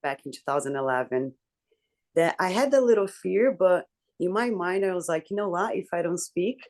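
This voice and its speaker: A woman with a deep voice, earnestly telling a personal story to her book club over a video call. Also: lively intonation, normal speed, a little mellow. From Brazil. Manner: earnest, candid, reflective storytelling. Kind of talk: personal story in a group discussion.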